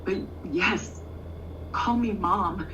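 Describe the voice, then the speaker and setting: A woman's voice: medium pitch, extremely slow, pushed and bright. A woman playing a tender, middle-aged mother on a phone call in an audio drama, hesitant and motherly. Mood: eager, hesitant. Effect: phone line. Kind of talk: naturalistic audio drama acting. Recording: distant muffled phone, faint noise.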